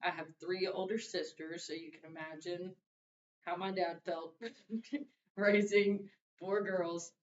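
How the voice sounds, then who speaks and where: A young woman gives a relaxed, conversational introduction speech to her class. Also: slow pace. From Louisiana and Arkansas. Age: young adult. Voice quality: deep voice. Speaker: student. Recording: roomy, distant, dull mic with faint hiss.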